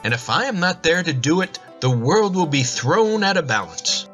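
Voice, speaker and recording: A man's voice: on the high side, very animated, normal speed, fairly bright tone, soft background music. A man playing a grave, businesslike god of the underworld in an audio drama, with an earnest, disapproving manner. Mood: stern, impatient.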